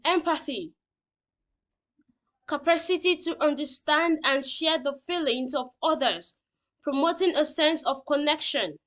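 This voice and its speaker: A woman's voice: high-pitched, unhurried, fairly bright tone. A woman teacher reading out lesson points and explaining them simply, often checking that learners understand.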